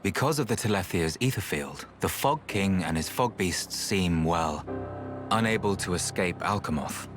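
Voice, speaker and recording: A weary, level-headed researcher in a game. His voice is low and understated, thoughtful and pragmatic, over a clearly audible music track. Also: animated, moderate pace. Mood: explaining, pensive. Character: calm, measured male researcher, weary and pragmatic elder. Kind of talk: video game voice acting.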